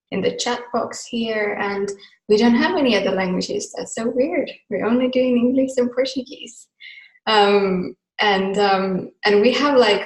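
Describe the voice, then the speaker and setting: A woman's voice: medium pitch, echoey room, rough recording. A woman whose first language is Finnish, leading a live online class in a casual, chatty way with easy laughs and rambling asides. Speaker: class facilitator. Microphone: video call mic.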